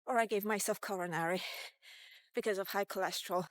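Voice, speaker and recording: A woman's voice: medium pitch, a little fast, no echo. A woman in her fifties talking informally and candidly in a rambling, casual vlog. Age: 50s. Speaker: vlogger. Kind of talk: casual walk-and-talk vlog.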